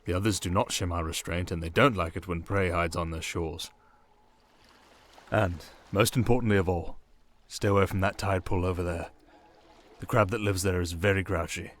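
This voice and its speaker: A man's voice: sits low, steady tone, moderate pace, natural voice. A British man playing a sardonic, restrained siren in an intimate ASMR roleplay, speaking in a wry, hesitant, confiding way. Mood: dryly teasing. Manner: wry, hesitant, confiding, addressing one listener. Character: sardonic, restrained, softly dangerous male siren sea creature. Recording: water and storm ambience behind voice. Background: running water and rain ambience.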